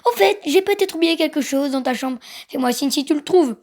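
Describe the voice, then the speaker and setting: Woman as a very high-pitched cocky cartoon rabbit guy. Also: expressive, brisk, darkish tone. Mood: smug teasing. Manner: flippant, taunting. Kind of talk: amateur cartoon fan dub.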